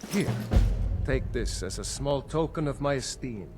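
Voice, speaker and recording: An actor playing a stern middle-aged Jarl in a fantasy video game, speaking formally and with authority. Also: male voice, mid-range voice, animated, unhurried, music in the background, close to an everyday voice. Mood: stately, grave.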